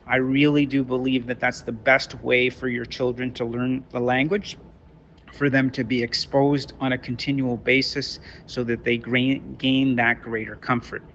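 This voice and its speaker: Bright-voiced French-Canadian man lecturing. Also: medium pitch, dull audio, slight background noise. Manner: halting, many restarts and repeated words. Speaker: teacher. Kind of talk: online lecture to parents. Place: Toronto.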